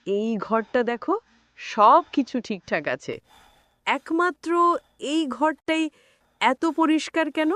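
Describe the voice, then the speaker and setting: A young cartoon heroine played by a woman exploring a haunted place, curious and uneasy. Her voice is high and swoops with wonder, delivered slowly in a dry, close sound. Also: animated, fairly bright tone, no room sound, full character voice. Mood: puzzled, uneasy. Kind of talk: animated horror story dialogue.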